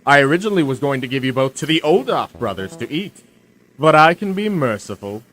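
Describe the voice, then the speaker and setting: Man playing a theatrical, smug villain with swooping pitch. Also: expressive, fairly bright tone, slight background noise, low-quality recording. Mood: cold, mock merciful. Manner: menacing, theatrical, drawn-out delivery. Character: smug male villain, self-proclaimed ruler of the universe. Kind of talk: comic sci-fi radio drama.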